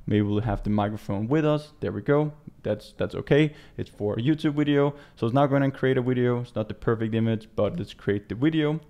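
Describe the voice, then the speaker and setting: A man in his twenties from Denmark with a Danish accent, giving a casual software walkthrough as a native Danish speaker. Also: mid-range voice. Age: 20s. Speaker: tutorial presenter. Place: small indoor room.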